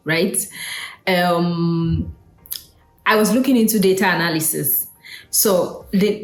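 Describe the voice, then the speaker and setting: A woman's voice: on the low side, roomy sound. A woman from Nigeria, hosting a casual solo talk in a conversational way, with fillers and asides. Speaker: host.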